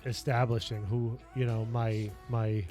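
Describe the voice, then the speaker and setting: A man chatting casually on his home livestream in a basement office, rambling and earnest with lots of fillers, his voice getting a little raspy. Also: mid-range voice, level tone, a little slow, warm tone, soft background music. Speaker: streamer and lifestyle coach.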